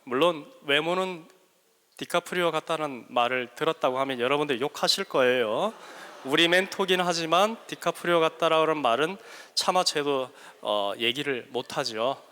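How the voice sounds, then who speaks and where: A man with a bright, forward voice and a regional Korean dialect showing through his learned Seoul speech, lecturing students with self-deprecating humor and hesitant pauses. He speaks into a handheld microphone. A long hall reverb trails each phrase. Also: on the high side, clean tone. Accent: Korean regional dialect under learned Seoul speech. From South Korea. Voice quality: joking, self-deprecating, with frequent hesitant fillers. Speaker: guest mentor lecturer. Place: large hall at a school workshop.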